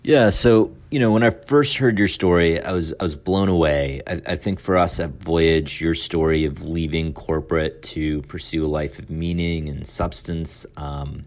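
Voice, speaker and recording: A man's voice: extremely low pitch, normal speed, hardly any pauses. A man hosting a podcast interview, upbeat, with filler words. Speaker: podcast host.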